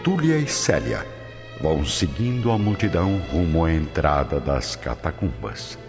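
Very deep male narrator, long reverb. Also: very animated, measured pace. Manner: solemn, theatrical. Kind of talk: dramatic scripted radio drama narration. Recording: dull, reverberant, music underneath.

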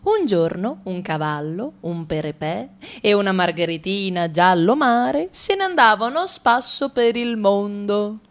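A woman's voice: mid-range voice, sing-song, unhurried, few pauses. A woman narrating a whimsical fairy tale for a radio drama in a playful, sing-song manner.

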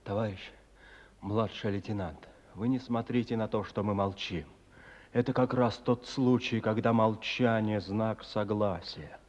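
An actor playing a quietly loyal Soviet soldier in a radio drama, speaking in a subdued, steadfast way. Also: male voice, lowish voice, steady tone, unhurried, close to an everyday voice. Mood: subdued resolve. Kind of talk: theatrical Russian radio drama. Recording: dull, muffled audio.

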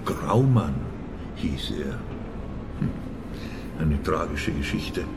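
A man's voice: extremely low pitch, big pitch swings, slow pace, vocal fry, very noisy, exaggerated voice. An actor playing a gloomy, self-pitying older businessman who muses and laments in a radio play. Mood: musing. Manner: musing, lamenting.

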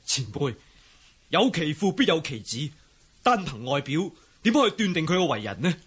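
A man's voice: fairly high pitch, big pitch swings, normal speed, a bit bright, breathy edge, heavily acted. A male actor playing an earnest, accusing young outlaw fighter in a Cantonese wuxia radio drama, insistent yet deferential. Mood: persuasive.

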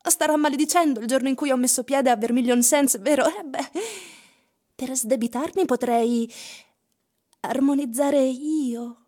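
A woman's voice: high voice, fairly even intonation, unhurried, warm tone. An actress playing a self-assured, mysterious female singer in a theatrical radio drama, teasing and coy. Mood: teasing, confident.

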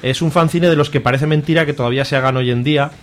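A man's voice: a little high, fairly even intonation, very fast. A talkative man with an accent from Spain, chatting casually on the radio about his hobby in a colloquial, jokey way. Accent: Spanish from Spain. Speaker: radio show contributor reviewing comics and fanzines.

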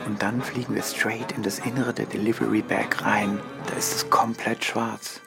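A man narrates an art piece in a low, gravelly voice. His delivery is scripted and in the present tense, like spoken stage directions. Clear music plays behind him. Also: level tone, moderate pace.

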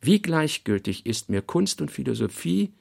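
A man reading scripted literary notes for a radio play, in measured, fragmentary phrases. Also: on the high side, sing-song, creaky, a little mellow, breathy edge. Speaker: reader of a writer's working notes.

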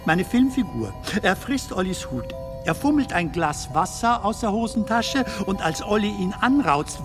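An actor playing a whimsical film comedian stranded in darkness in a German radio drama, musing and storytelling in a halting way. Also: male voice, high voice, exaggerated voice. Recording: music behind the voice.

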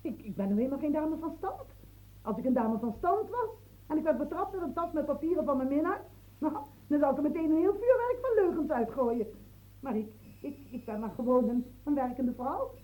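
An actress in a radio play voicing a coaxing, stammering working-class mistress. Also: female voice, sits high, big pitch swings, fairly quick, mellow, poor audio. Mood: coy flustered.

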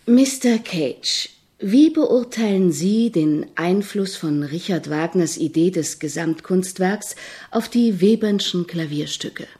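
An actress playing a persistent, highbrow woman music journalist with formal, wordy questioning in a radio play. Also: lively intonation, slow, dark tone. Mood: polite, eager.

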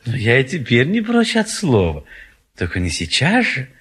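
An indecisive bachelor in a theatrical comedy. His husky, medium-pitched voice moves up and down in lively swings, hesitant and stubbornly evasive. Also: moderate pace, heavily acted. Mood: flustered evasion. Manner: hesitant, polite, dithering. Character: timid, indecisive middle-aged bachelor suitor, stubbornly evasive. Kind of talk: theatrical classic stage comedy acting.

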